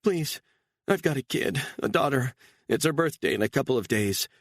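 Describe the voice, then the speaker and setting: Guy doing a scared crying actress lady, high and frantic, swings all over. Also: animated, moderate pace, no echo, clean studio sound. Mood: pleading, panicked. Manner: high, frantic, tearful pleading. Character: terrified young woman actress, pleading.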